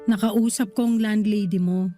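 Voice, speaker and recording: An actress playing a protective, guilt-ridden older sister in a radio drama, speaking earnestly and pleadingly. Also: female voice, medium pitch, fairly even intonation, normal speed, mellow, faint music behind, natural voice. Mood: concerned. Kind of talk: Tagalog radio drama acting.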